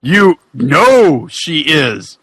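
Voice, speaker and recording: Man as friendly retired racing pal, higher-pitched, bright, earnest, folksy, halting comic story reading. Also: very animated, breathy edge, no echo, exaggerated voice. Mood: earnest, hearty. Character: friendly, grateful former racer, folksy male buddy.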